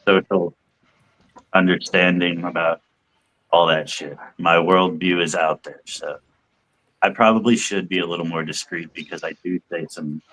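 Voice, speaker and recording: A man's voice: sits low, fairly even intonation, moderate pace, a bit bright, low-quality recording. A man hosting a casual stream chat, joking and provocative, laughing often. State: high. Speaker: stream host.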